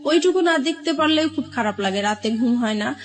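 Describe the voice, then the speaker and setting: A woman's voice: sits high, lively intonation, a little fast, soft background music. A woman with a Bangladeshi accent telling her own life story as an interview guest, often retelling conversations as she goes. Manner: recounts events with reported dialogue.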